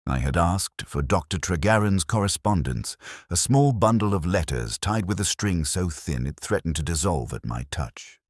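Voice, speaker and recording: A man reading a scripted first-person literary mystery in a measured, formal storytelling manner. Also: low-pitched, animated, no echo, clean studio sound. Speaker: audio drama narrator.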